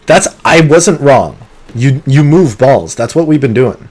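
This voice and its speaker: A man streaming a game live, talking through it in an excitable, competitive way, with sudden gasps and pleading. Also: mid-range voice, husky. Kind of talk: live gameplay commentary.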